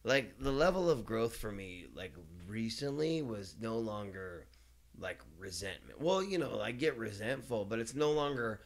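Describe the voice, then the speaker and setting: A man's voice: on the low side, animated, a little slow. A man in his forties from East Los Angeles, talking openly in a casual podcast conversation, swearing freely and laughing often. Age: 40s. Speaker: podcast guest.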